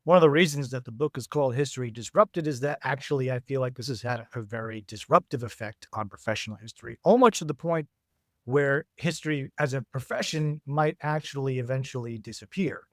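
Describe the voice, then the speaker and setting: A man who is a guest author, speaking hoarse and gravelly while getting over an infection, explaining his ideas in a measured, casual podcast interview. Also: medium pitch, no echo, clean studio sound.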